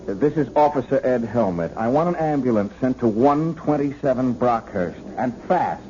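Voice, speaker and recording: A man's voice: mid-range voice, animated, moderate pace, dark tone, natural voice. An actor playing a folksy, protective male police officer in a 1970s radio drama, colloquial and dogged. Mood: stern, accusing. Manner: colloquial, hesitant, then blunt. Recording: dull, noisy old radio recording.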